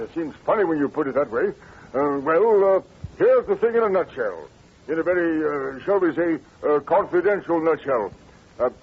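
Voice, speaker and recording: An actor playing an anxious, secretive middle-aged English duke, stammering and repeating himself in old radio drama style. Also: male voice, a little high, breathy edge, heavily acted. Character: flustered aristocratic duke of about fifty-five, anxious, secretive. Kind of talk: 1940s radio acting. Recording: tinny, noisy old radio recording.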